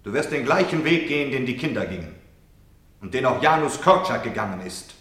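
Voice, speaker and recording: An actor playing a cold, scornful Nazi officer who harangues and threatens a prisoner in a radio play. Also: male voice, mid-range voice, lively intonation, brisk, fairly bright tone, natural voice. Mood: menacing. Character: cold, menacing male Nazi officer taunting his prisoner. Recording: echoey, reverberant room.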